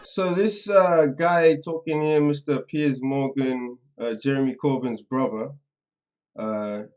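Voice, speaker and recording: A man hosting a live stream in casual, slangy chat, halting and trailing off as he goes, a little away from the microphone. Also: mid-range voice, fairly even intonation, a little mellow, poor audio. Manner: informal, slangy address to viewers; halting, distracted, trailing off mid-sentence. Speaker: livestream host. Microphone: some distance from the microphone.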